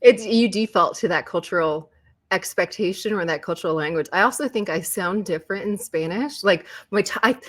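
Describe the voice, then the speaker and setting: Animated Puerto Rican-born woman. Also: mid-range voice, a little fast. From Puerto Rico. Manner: animated, laughs often, lively storytelling. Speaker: guest. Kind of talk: casual interview chat.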